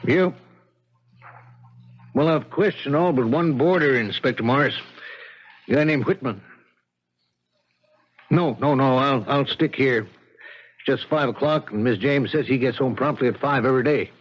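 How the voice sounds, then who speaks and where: An American actor playing a steady, matter-of-fact homicide lieutenant in a 1970s radio drama, asking measured questions in a husky voice. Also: male voice, medium pitch, close to an everyday voice. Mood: businesslike. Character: steady, probing male homicide police lieutenant. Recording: dull vintage radio broadcast.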